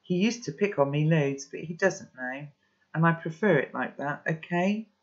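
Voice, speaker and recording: A man acts out an earnest, guarded boy from a storybook. The voice sits fairly high. The sound is slightly dull and lo-fi. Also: expressive, normal speed. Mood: defensive, pleading. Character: guarded, earnest boy defending his friends. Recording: dull lo-fi audio.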